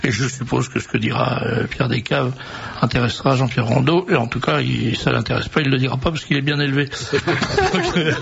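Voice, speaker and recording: Gravelly radio host guy, wry, rambling, muffled old broadcast sound. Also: medium pitch, sing-song, a little fast, a bit bright. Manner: wry, digressive, many fillers. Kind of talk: talk radio chat. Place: radio studio. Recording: dull lo-fi radio recording with faint hiss.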